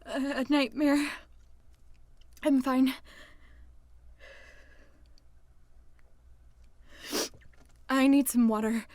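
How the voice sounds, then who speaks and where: A woman acting in an audio drama as an anxious, guarded young woman plagued by nightmares, with breathy, intense delivery. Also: high voice, steady tone, slow, a little mellow, no room sound. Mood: shaken, guarded. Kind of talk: audio drama acting with first-person narration.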